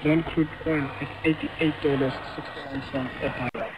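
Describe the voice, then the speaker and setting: Male financial newsreader, monotone, slightly high, buried in shortwave noise. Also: moderate pace. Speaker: radio financial news presenter. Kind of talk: market report reading. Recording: very noisy shortwave radio reception.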